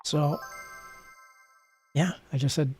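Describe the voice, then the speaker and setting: A man streaming a card game, chatting casually in a soft, rambling, self-deprecating way with asides to the game. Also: on the high side, fairly even intonation, takes it slowly, soft background music. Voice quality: soft, not gravelly at the moment. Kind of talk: casual live-stream chat while playing.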